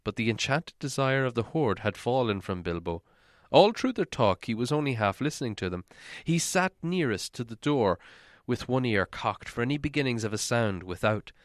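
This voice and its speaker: A man reading an audiobook as a dramatic storyteller, expressive and theatrical. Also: lowish voice, dry recording, clean studio sound. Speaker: audiobook reader.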